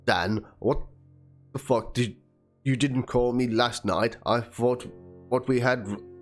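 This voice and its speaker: A man's voice: mid-range voice, fairly even intonation, slow pace, close to an everyday voice. A man doing a dramatic reading as a wounded young woman, plaintive and theatrical, spelling out chat slang. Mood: playful, teasing. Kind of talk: dramatic reading of a written document and chat logs. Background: guitar music.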